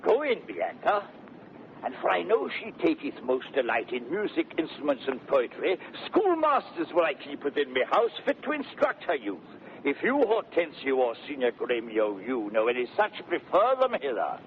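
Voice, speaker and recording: A man's voice: mid-range voice, sing-song, normal speed. An actor playing a flustered, pleading old father in 1930s radio Shakespeare, with an emphatic, repetitive delivery. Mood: conciliatory, resolved. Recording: scratchy, tinny 1930s radio broadcast.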